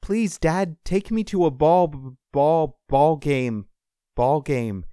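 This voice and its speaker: A man's voice: fairly high pitch, takes it slowly, mellow, clear, resonant tone, dry recording, clean studio sound, voice pushed up. A man voicing an eager, loving five-year-old boy with simple, childlike pleading, in a story. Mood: pleading, fading.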